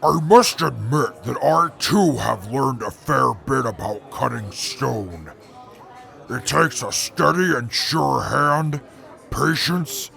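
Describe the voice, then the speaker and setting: A pompous super mutant brute rumbles through his boasts with a heavily gravelly, fry-laden voice, as a man acts him out. He speaks slowly and grandly, like a self-important giant in a radio play. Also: bright, forceful tone, exaggerated voice. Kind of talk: fan audio drama.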